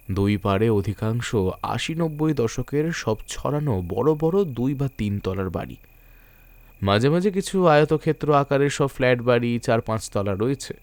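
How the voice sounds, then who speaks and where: A man narrating a scripted suspense story in a measured, literary storytelling manner. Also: on the low side, a little mellow, no room sound.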